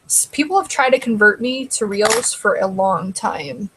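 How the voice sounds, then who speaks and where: A woman's voice: medium pitch, lively intonation, moderate pace, noisy background. A woman hosting a casual live stream, chatting loosely and answering viewers, her throat getting dry. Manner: rambling, conversational, answers chat comments. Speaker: live show host.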